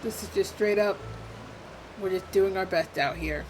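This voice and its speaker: A woman's voice: medium pitch, moderate pace, a little hiss or hum, low-quality recording. A woman livestreaming a game, giving casual, blunt and snarky reaction commentary. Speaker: livestream host playing a game. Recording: livestream over game music. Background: game music.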